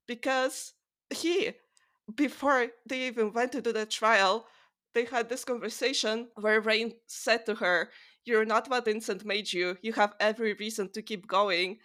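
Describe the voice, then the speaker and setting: A woman's voice: fairly high pitch, a bit bright. A woman hosting a podcast, talking casually and with strong opinions in an emphatic, exasperated manner peppered with swearing. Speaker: podcast host. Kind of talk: casual opinionated book discussion chat.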